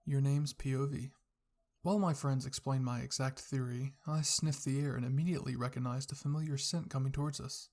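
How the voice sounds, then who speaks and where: A narrator reading a scripted fan fiction story in a husky, creaky voice, in the style of ASMR roleplay. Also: mid-range voice, fairly even intonation, a little fast, a little mellow.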